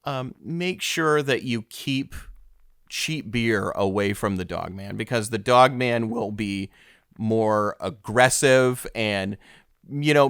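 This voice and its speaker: A man's voice: on the low side, a bit bright, clear, resonant tone, no echo, clean studio sound. A man hosting a mock-serious comedy interview, hesitant and stammering, sounding awkwardly earnest. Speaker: podcast host.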